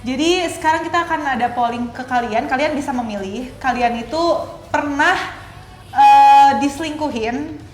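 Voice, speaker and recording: A woman's voice: high-pitched, moderate pace. A young woman co-hosting a live show, chatting casually and playfully and reading out segments. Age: young adult. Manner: giggly, playful. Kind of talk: casual chat with read-out segments. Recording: echoey room, faint background noise.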